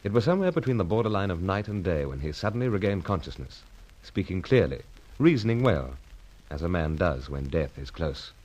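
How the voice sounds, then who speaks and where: Deep-voiced British gentleman secret agent. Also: very animated, fairly quick, rough recording. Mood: grave narration. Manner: clipped, understated, old-fashioned gentlemanly phrasing. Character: unflappable gentlemanly British secret agent, narrating his adventure. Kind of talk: old-time radio adventure serial acting and narration.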